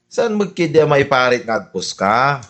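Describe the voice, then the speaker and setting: A man's voice: mid-range voice, sing-song, normal speed, fairly bright tone. A man playing a nagging, scolding husband with an Ilocano Filipino accent in a radio comedy drama. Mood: admonishing. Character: nagging husband scolding his vain wife.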